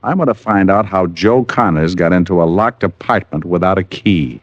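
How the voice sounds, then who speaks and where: An actor playing a shrewd, persistent private detective in an old radio drama, on a muffled recording. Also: male voice, sits low, moderate pace. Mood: insistent.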